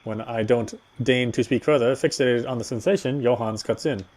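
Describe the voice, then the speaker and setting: A man's voice: medium pitch, normal speed, a little mellow. A man reading a horror visual novel aloud as a dramatic first-person narrator.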